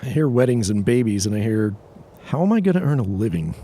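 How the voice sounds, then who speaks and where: A man's voice: lowish voice, big pitch swings, brisk, dark tone. A man playing an earnest young suitor in a period audio drama. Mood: earnest, questioning. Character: earnest young suitor, would-be policeman in 1917.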